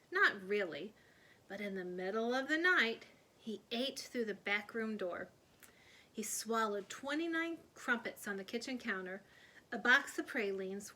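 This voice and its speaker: A woman reading a children's story aloud in an expressive, animated storytelling manner. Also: mid-range voice. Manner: animated, putting on character voices. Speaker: read-aloud storyteller, teacher.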